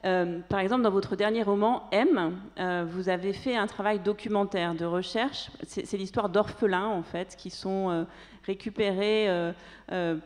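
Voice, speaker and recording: Halting woman moderator in a reverberant hall. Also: fairly low pitch, darkish tone. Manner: halting questions with many fillers. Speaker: panel moderator. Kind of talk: live panel moderation. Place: theatre stage.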